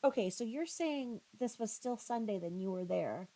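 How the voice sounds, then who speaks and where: A woman giving critical, confrontational reaction commentary, scornful and halting, often repeating her phrases. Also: fairly high pitch, lively intonation, dark tone, rough recording. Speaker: commentator reacting to another creator's livestream.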